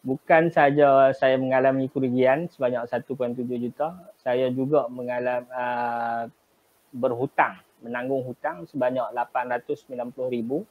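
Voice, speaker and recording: A middle-aged man from Kedah in Malaysia with a northern Malaysian Malay accent, a guest telling his business story in a measured way, with pauses and fillers. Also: mid-range voice, little pitch movement. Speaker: guest, travel agency business owner. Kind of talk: remote interview storytelling. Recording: dull lo-fi live stream audio.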